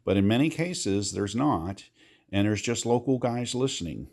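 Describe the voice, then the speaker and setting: Casual male demonstrator, American, very low register, gravelly fry, patient explanatory repeats. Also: expressive, moderate pace, darkish tone. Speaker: amateur radio hobbyist giving a demonstration. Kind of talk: casual how-to walkthrough.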